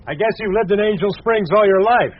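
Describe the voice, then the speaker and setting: Male actor as courteous city businessman, higher-pitched, measured; old radio drama, dull faintly noisy recording. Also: natural voice. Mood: friendly. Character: polite New York businessman visiting from the East. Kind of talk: 1980s radio drama acting.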